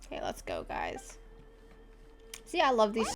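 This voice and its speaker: Casting: female game streamer, unhurried and creaky, informal muttered reactions, slightly noisy recording. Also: medium pitch, wildly swooping pitch, over music. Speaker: gaming streamer. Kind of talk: casual game playthrough commentary.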